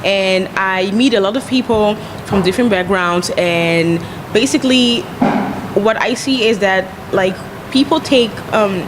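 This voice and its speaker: A woman's voice: medium pitch, a little slow. A young woman from Ghana talking casually and informally as a guest in a panel discussion. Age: young adult. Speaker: guest, PR agent. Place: glass-fronted cafe, indoors at a table. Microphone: shared handheld interview microphone. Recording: clear background noise of busy venue.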